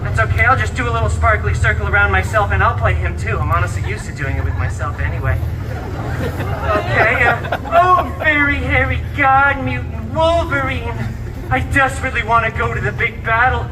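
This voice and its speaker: A man's voice: very high-pitched, normal speed, background noise. A man playing a wisecracking, hyperactive antihero who tells a comic bedtime story theatrically, with sarcastic, camp asides to the audience. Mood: mock dramatic, sarcastic. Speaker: theme park live show performer. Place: outdoor theme park show, amplified, filmed from the audience. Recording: loud music and crowd, distant outdoor amplified sound.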